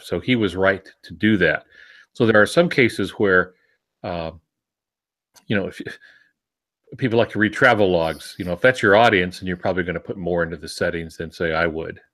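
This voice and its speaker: A man with a slightly deep voice talks casually on a panel. He gives advice plainly and reassuringly, as one of the show's regular voices. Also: fairly bright tone. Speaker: podcast panelist giving writing advice.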